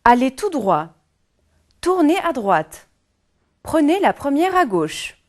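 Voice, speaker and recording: Woman co-host, slightly high, animated delivery, reads lesson examples carefully. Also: slow pace. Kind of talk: scripted teaching examples.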